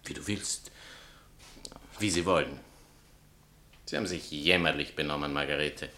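An actor playing a vain, sardonic bohemian writer and spurned lover, pompous and mocking, in a satirical radio play. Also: male voice, very deep voice, moderate pace, sharp tone. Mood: reproachful. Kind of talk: theatrical literary satire dialogue.

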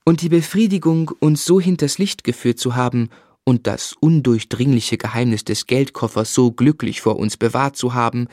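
Radio drama narrator: man as articulate, affectionate gentleman telling his life story, medium pitch, dry studio sound. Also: moderate pace, hardly any pauses, dry recording, close to an everyday voice. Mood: composed, reflective.

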